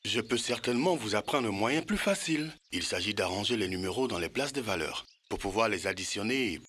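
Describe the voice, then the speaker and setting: A man's voice: lowish voice, lively intonation, brisk, fairly bright tone, breathy edge. A man voicing a jolly, kindly elder who teaches patiently in a French-dubbed children's cartoon. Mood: jolly, instructive.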